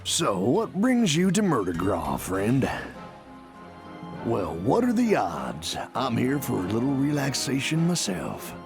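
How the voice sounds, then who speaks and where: An actor playing a smug, slyly friendly rogue with a gravelly voice in a cartoon game. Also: male voice, medium pitch, huge pitch swings, slow pace, heavily acted. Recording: music behind the voice.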